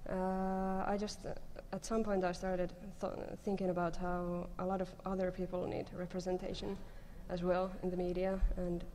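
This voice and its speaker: Young woman, gravelly and monotone, kinda hesitant, panel mic in an echoey noisy hall. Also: fairly low pitch, normal speed, few pauses. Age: young adult. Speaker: panelist, comic creator. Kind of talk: casual panel answer. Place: conference room stage. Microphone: table microphone on stand. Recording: echoey hall PA, background noise.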